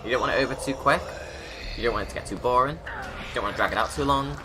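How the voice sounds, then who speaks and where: A man's voice: mid-range voice, fast, over music. A man giving casual, rambling creator commentary on his game movie, often interrupting himself. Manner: informal, rambling, self-interrupting.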